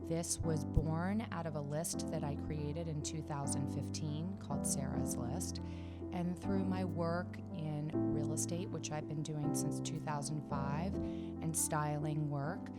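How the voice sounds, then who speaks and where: A woman's voice: deep voice, fairly even intonation, measured pace, over loud music. A middle-aged woman hosting a podcast, chatty and effusive with compliments for her guest. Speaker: podcast host. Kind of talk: podcast intro and interview.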